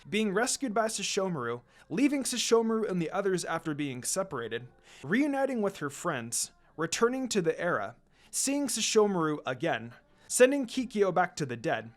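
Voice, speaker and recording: A man reading fan fiction aloud in a dramatic storytelling style. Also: high-pitched.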